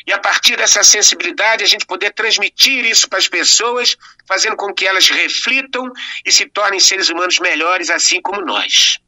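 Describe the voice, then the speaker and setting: Earnest Brazilian man, bright and high. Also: moderate pace, distant mic, poor audio. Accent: Brazilian Portuguese. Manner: earnest, reflective, affectionate sign-off. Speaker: guest actor and playwright. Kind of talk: radio interview chat.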